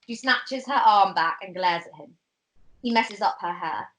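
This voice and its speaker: A woman's voice: mid-range voice, expressive, a little slow, fairly bright tone, low-quality recording. A woman reading stage directions as narrator in an amateur script table read.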